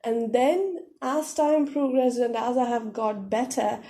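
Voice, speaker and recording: A woman's voice: on the high side, a little slow, warm tone. A young woman teaching a lesson, explaining step by step with many fillers. English and Korean are not her first languages.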